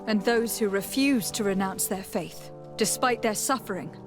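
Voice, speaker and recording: A woman's voice: mid-range voice, normal speed, close to an everyday voice. A woman playing an assertive, composed noblewoman diplomat in a video game, speaking formally and directly. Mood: formal, resolute. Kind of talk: video game dialogue acting. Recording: music behind the voice.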